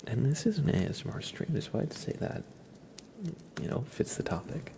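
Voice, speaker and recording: A man with a congested, stuffy nose chats casually with viewers as an ASMR livestream host, hushed and often whispery. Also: mid-range voice, sing-song, moderate pace, gravelly, slight background noise.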